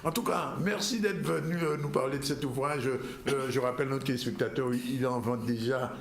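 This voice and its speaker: A man's voice: medium pitch, normal speed, roomy sound, slight background noise. A male host leading a live studio interview with brief, casual questions and frequent affirmations.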